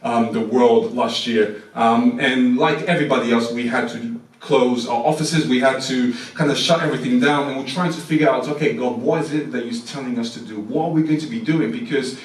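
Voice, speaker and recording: A man's voice: medium pitch, steady tone, fairly quick. A young man with a Portuguese accent, giving a chatty, self-deprecating talk to a church congregation, with frequent restarts. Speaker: mission team speaker. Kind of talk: informal talk at a church service. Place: church hall. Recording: echoey room, mic far off.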